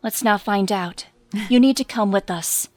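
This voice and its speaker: A woman's voice: medium pitch, measured pace, a little mellow, dry recording, close to an everyday voice. A woman playing a defiant, angry teenage superhero girl in an audio drama, blunt and confrontational. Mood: accusing.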